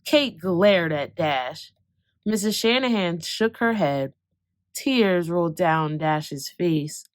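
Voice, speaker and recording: Expressive woman reading a children's story. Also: lowish voice, no room sound. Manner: expressive, slows for calm moments, speeds up for excitement. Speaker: children's book reader. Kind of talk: read-aloud storytelling.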